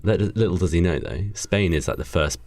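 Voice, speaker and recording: Young brit guy with a low voice, casual podcast chat, making stuff up as he goes. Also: a little fast, darkish tone, dry recording. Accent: British. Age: 20s. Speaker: podcast co-host.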